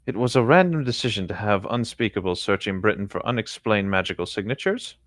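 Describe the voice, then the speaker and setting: Deep-voiced man reading aloud, dry sound. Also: a little fast, dry recording. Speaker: co-host reading fan fiction aloud. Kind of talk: read-aloud story narration with brief reactions.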